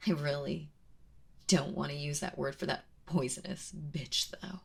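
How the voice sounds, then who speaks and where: A woman playing a kind but obsessive, protective yandere in an intimate ASMR roleplay, speaking haltingly and often dropping toward a whisper. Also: deep voice, exaggerated voice. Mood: indignant, pained. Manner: hesitant, halting, breathy with drops into whispering.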